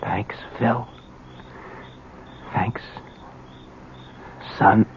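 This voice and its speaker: A man playing a weak, dying old ex-convict father in a radio drama, slangy, halting, gruff and remorseful. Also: lowish voice, level tone, heavily acted. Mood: fading, tender. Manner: slangy, rough-spoken, halting. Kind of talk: 1970s radio drama acting. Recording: tinny, noisy old radio broadcast.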